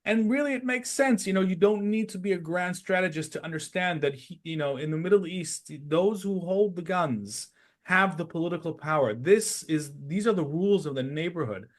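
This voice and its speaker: A man's voice: sits high, normal speed. A man discussing politics analytically as a podcast host, explaining his points step by step with emphasis.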